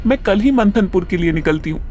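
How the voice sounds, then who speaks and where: Actress as spirited young cartoon heroine. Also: female voice, medium pitch, a little fast, slight background noise, lightly acted. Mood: puzzled, determined. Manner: expressive, sprinkles English words into Hindi. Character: spirited young woman who inherits an eerie mansion. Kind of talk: Hindi horror cartoon dubbing.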